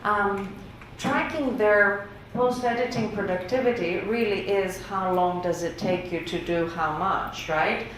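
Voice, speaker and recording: A woman's voice: medium pitch, a little slow, few pauses, a bit bright. A woman whose first language is Greek, giving a workshop lecture on survey results in a casual, conversational way with many fillers. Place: university lecture room. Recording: very echoey room with faint noise.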